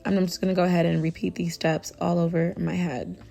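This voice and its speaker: A young woman with a hoarse, raspy voice from tonsillitis, talking through a hair tutorial in a casual, chatty way with lots of "you know.". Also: lowish voice, fast talker. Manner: chatty, relaxed, full of fillers like "you know". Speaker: beauty vlogger.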